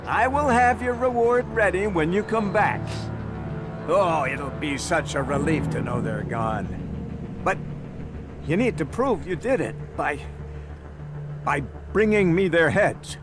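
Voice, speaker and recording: A man's voice: a little high, lively intonation, slow, over music. An actor playing a fussy, anxious male city official in a video game, easily flustered. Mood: relieved, hopeful. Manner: flustered, stammering when rattled. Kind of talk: video game dialogue acting.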